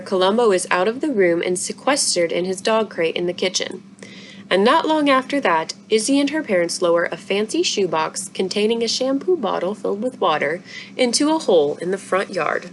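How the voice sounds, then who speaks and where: A woman's voice: medium pitch, a little hiss or hum. A woman reading a children's book aloud expressively, as a story-time narrator. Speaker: library story-time reader.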